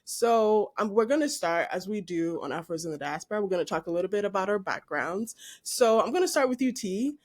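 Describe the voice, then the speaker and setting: A woman's voice: medium pitch, expressive, fairly quick, few pauses. A woman hosting a casual interview podcast, bantering playfully with her guests and laughing often. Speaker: podcast host.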